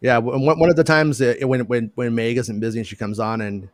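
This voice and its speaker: A man's voice: mid-range voice, fairly even intonation, fast talker, no echo, studio quality. A man hosting a casual podcast chat over a video call, stumbling over and repeating his words as he jokes. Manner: stammering, repeats words, self-deprecating jokes. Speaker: podcast host.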